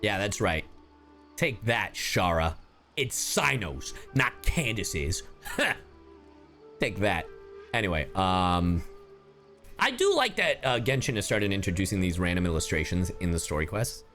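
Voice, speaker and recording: A man's voice: low-pitched, measured pace, faint music behind. A young American man casually commentating as a game streamer, slangy and sweary. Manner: animated, slangy storytelling with profanity.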